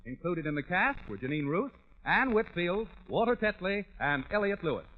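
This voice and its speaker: High-pitched, animated vintage radio announcer man. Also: normal speed. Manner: emphatic, polished salesman's enunciation. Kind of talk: 1940s scripted radio commercial announcing. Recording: tinny, narrow-band 1940s radio recording.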